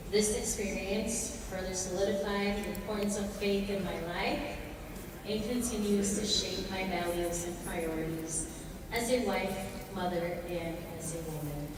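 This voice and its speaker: A middle-aged woman from Samoa giving an earnest prepared self-introduction as a pageant contestant, with soft "um" fillers. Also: mid-range voice, a little slow. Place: large indoor hall. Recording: echoey hall from far off with noise.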